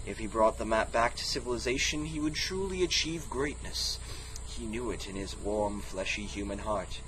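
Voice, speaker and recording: Theatrical man reading over clear background noise. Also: on the low side, moderate pace, fairly bright tone, rough recording. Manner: theatrical, comically self-interrupting. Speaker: reader and player of a text game. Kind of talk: mock-dramatic storybook reading with casual asides.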